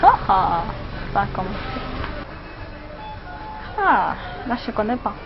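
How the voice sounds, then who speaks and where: Slow-talking Cameroonian woman, dull street audio. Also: medium pitch, very animated, vocal fry, soft background music. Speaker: street interviewee. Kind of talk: vox pop greeting to camera.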